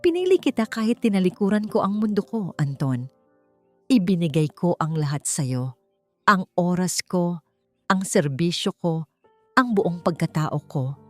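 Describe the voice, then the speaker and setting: A woman acts a dignified wronged wife in an audio drama, firm and measured with feeling underneath. Her voice is on the low side, recorded dry over faint music. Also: sing-song, darkish tone, dry recording. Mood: hurt, firm. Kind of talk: Tagalog audio drama acting.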